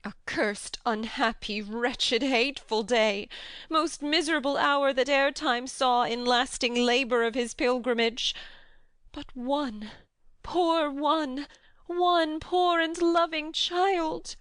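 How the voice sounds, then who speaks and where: A woman's voice: high voice, steady tone, takes it slowly, fairly bright tone, no echo. A woman playing an anguished noblewoman mourning her child in a dramatic Shakespeare audiobook, with theatrical, emphatic lamenting. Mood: anguished grief.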